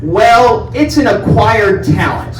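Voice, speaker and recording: A man with a loud voice hosting a community town hall, friendly and joking with residents by name. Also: sits high, expressive, takes it slowly, a little hiss or hum. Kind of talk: casual town hall talk. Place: large meeting room indoors. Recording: echoey room, distant mic.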